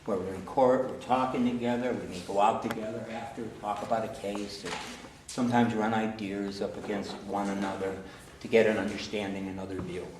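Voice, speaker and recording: A man, a defense lawyer, speaking at a hearing in a relaxed, conversational way. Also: fairly low pitch, brisk, hardly any pauses. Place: large echoey hearing room. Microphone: recorded from far by a camcorder. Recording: echoey room, distant camcorder mic, some noise.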